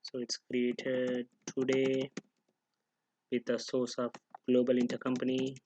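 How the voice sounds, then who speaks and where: A man giving an unscripted, step-by-step software tutorial, halting and pausing mid-sentence as he goes. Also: mid-range voice, completely flat delivery, dull audio, a little hiss or hum.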